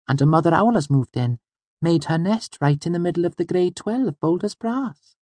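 A man voicing a whimsical, childlike young girl in an audiobook, speaking softly and daintily with earnest, precise phrasing. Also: on the high side, expressive, normal speed, slightly rough, dry recording, voice pushed up. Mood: chatty. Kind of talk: audiobook character voice.

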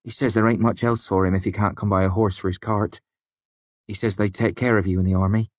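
A man doing an eager teenage apprentice lad, raised well above the reader's own low register and pushed louder. He sounds earnest and pleading, quick to bristle, with a dry, close sound. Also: level tone, no room sound, lightly acted. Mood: excited. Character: teenage male smith's apprentice, eager, defensive.

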